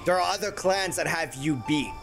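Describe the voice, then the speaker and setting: A young adult man giving excited, slangy, effusive casual reaction commentary on a video game at his desk. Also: on the high side, moderate pace, vocal fry. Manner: effusive, slangy, uses bro and dude. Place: small indoor room at a desk. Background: game trailer music.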